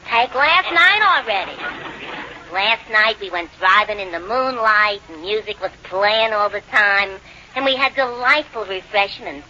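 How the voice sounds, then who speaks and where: An actress playing a brash young working-class clerk who talks in sarcastic slang, in radio sitcom style. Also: female voice, mid-range voice, lively intonation, a little slow, bright, forceful tone. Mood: defensive, indignant. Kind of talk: 1950s radio sitcom acting. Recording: noisy, muffled old radio recording.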